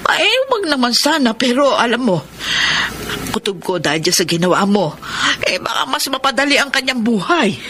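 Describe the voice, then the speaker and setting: A woman's voice: on the high side, moderate pace, slight background noise. Swooping, fretful Filipino mother. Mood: reproachful, worried. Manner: expressive, sighing, fretful, admonishing. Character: concerned, reproachful older mother counselling her married daughter. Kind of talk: Filipino radio soap opera acting.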